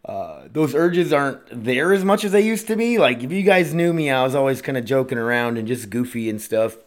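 A man in his late thirties talks through his own podcast alone. His voice sits in an ordinary middle pitch. He wanders from thought to thought, restarts his sentences and drops curse words casually. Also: animated, brisk. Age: 30s. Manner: rambling, profane, self-interrupting. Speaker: podcast host.

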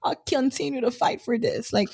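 A woman co-hosting a casual podcast chat, often echoing the others and adding quick agreement. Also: high voice, expressive, a little mellow, breathy edge. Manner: frequent agreeing interjections, echoes others' words. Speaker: podcast co-host.

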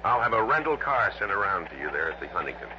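American insurance boss briefing someone, matter of fact, old radio play, muffled with clear hiss. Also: male voice, low-pitched, very animated, fast. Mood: businesslike briefing. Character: businesslike male insurance office man briefing an investigator. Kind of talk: 1960s radio drama acting. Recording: noisy, dull lo-fi old radio recording.

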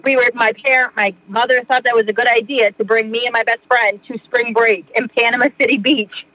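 A middle-aged woman from Massachusetts, a podcast guest rambling through a personal story with many fillers and quick laughs. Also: fairly high pitch, fairly even intonation, a bit bright, no room sound, low-quality recording. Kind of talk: casual storytelling in an interview.

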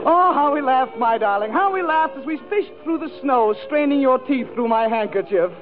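High-voiced, gushing melodramatic lover, acted by a man. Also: animated, normal speed, phone-quality sound, a loud crowd. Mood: wistful, theatrical. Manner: overwrought, sighing, repeating phrases for effect. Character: melodramatic, gushing male lover reciting lost romance. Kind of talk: 1940s radio comedy monologue. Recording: thin vintage radio broadcast with music, audience.

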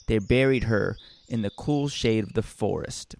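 A man reading a storybook and breaking off into casual, rambling, deadpan joking asides. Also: fairly low pitch, measured pace, gravelly, dry recording. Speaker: storybook reader and comedian.

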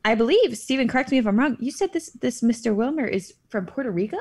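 A woman's voice: a little high, animated, fast, a little mellow, husky. A woman co-hosting a casual podcast, giggly and self-deprecating, stumbling over hard names. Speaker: podcast co-host. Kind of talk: casual chat.

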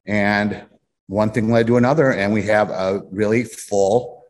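Rambling, low-voiced man from Illinois. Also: fairly even intonation, unhurried. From Illinois, USA. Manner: rambling storyteller, repeats phrases. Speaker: distillery co-owner. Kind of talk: casual interview talk.

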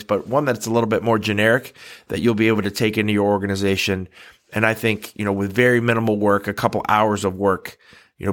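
A man's voice: on the low side, a bit bright. A man hosting a podcast in a casual, conversational way, a little nasal from a cold, with frequent fillers and restarts. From United States. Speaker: podcast host.

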